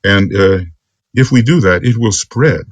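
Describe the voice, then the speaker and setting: A man giving an extemporaneous spiritual lecture as a teacher, speaking earnestly in deliberate, halting phrases. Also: lowish voice, lively intonation, no echo. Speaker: esoteric teacher.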